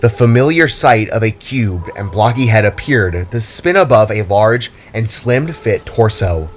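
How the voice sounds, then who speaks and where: A man's voice: on the low side, animated, a little slow, a little hiss or hum. A man narrating a scripted fantasy story in a dramatic storytelling style.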